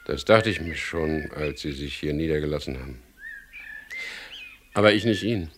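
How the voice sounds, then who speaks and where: An actor playing a wry, stubborn adventurer who narrates a radio crime drama in a dry, sardonic manner. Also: male voice, a bass voice, expressive, moderate pace, a little hiss or hum. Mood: wry, guarded. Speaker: narrator and lead character.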